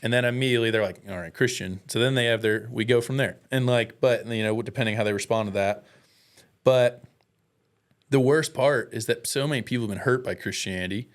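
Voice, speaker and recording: An American man talking as a guest in a casual, candid way, telling personal stories with earnest, self-deprecating, slangy speech. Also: lowish voice. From United States.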